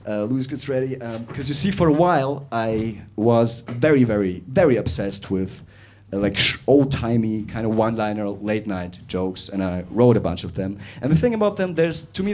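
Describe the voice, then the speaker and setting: A young man from Russia performing a stand-up comedy set in a club, talking animatedly and swinging between quick and drawn-out delivery. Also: mid-range voice, a little mellow, a little hiss or hum. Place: comedy club with live audience.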